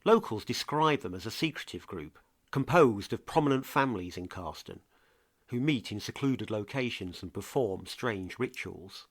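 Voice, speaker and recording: A man presenting a scripted true crime mystery podcast as an earnest investigator, with a measured, portentous delivery. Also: fairly low pitch, animated, no room sound. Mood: grave, intriguing.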